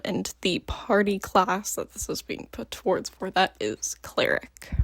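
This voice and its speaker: A woman's voice: mid-range voice, no echo. Sleepy-voiced woman rambling about books she loved, lots of ums, dead dry room. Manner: gushing, filler-heavy, many ums. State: just woke up. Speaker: book vlogger. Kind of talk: casual book review chat.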